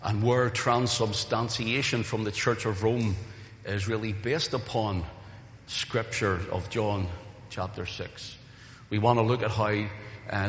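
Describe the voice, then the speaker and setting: A man's voice: on the low side, a bit bright. A pastor preaching a Sunday sermon, earnest and rising to emphatic calls to the congregation, his voice a little weak from recent voice loss. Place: church hall. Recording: roomy echo of a reverberant hall.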